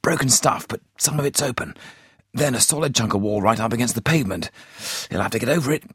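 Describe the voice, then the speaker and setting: A man voicing an excitable male army captain, giving a high, urgent, breathless running commentary from his lookout post. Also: fast, slightly rough, no echo, studio quality. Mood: excited.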